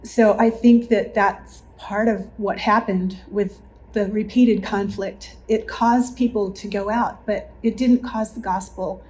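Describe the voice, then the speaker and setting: A woman reflecting on church life in a group interview, thoughtful and halting, correcting herself as she goes. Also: mid-range voice, fairly even intonation, darkish tone, low-quality recording. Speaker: church member recalling past challenges. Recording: faint background room noise.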